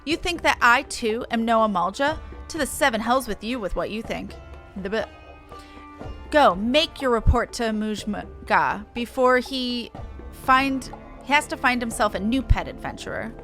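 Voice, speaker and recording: A woman's voice: fairly high pitch, measured pace, bright, forceful tone, faint music behind. A woman voicing a haughty, prickly female beastman warrior in a game, with sneering, formal speech. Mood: offended. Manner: indignant, sneering, formal phrasing. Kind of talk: reading game dialogue in character.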